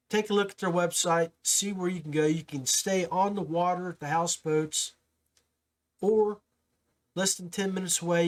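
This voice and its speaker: Higher-voiced man hosting a casual podcast. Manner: informal, addresses listeners as guys and peeps. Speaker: podcast host. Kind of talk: casual podcast talk to listeners.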